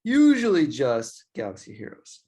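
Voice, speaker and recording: A man's voice: fairly high pitch, wildly swooping pitch, moderate pace, mellow. A man co-hosting a gaming podcast, in laid-back, joking chat that often stumbles over its words. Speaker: podcast co-host.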